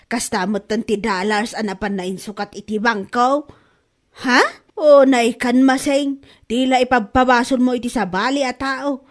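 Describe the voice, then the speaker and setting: Woman acting a high-voiced, scolding Ilocano woman. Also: unhurried, close to an everyday voice. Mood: aggrieved, complaining. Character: quarrelsome, gullible woman accusing a bag seller of lying. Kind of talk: Ilocano comedy radio-style drama acting.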